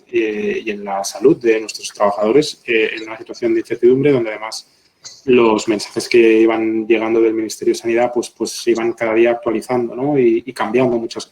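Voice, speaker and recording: A man, a pharmaceutical executive on a webinar panel, explaining in a deliberate way, often ending points with tag questions. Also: medium pitch, a little mellow, a little hiss or hum, rough recording.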